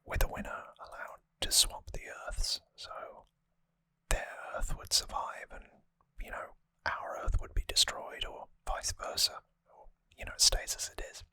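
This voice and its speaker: An ASMR host whispering breathily and close to the microphone, explaining things softly and informally with some hesitation. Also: unhurried, dry recording, low-quality recording. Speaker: host of a trivia ASMR show. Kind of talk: ASMR whispered episode recap.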